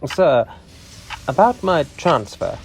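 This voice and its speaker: An actor in a sci-fi audio drama playing a villain's dutiful assistant, formal and deferential. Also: male voice, a little high, sing-song, slow pace, music in the background, full character voice.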